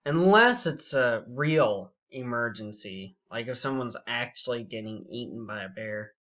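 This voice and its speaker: A young man telling a story casually and unscripted, rambling and halting, with frequent asides. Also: medium pitch, animated, fairly bright tone. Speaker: YouTube storyteller. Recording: dull, muffled lo-fi audio.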